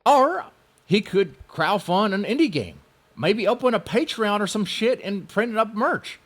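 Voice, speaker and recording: A spiteful male blogger, as a man acts him out rants in a raised, swinging pitch. He is whiny and aggrieved, piling on indignation in a mocking dramatic reading. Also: animated, unhurried, a bit bright, full character voice. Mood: scornful. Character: aggrieved, spiteful male blogger ranting about his enemies.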